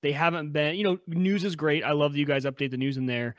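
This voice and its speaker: A young man co-hosting a casual tech podcast, explaining eagerly over a video call on a boom-arm studio mic in a home workshop. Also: fairly even intonation, dry recording. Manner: quick, enthusiastic explaining. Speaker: podcast co-host. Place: home workshop room with pegboard.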